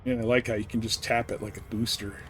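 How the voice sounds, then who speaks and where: A man's voice: flat delivery, quick pace, a bit bright. A man giving casual live commentary as he streams a space game, chatting to viewers with mild swearing. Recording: clear background noise, game engine rumble. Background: spaceship game sounds.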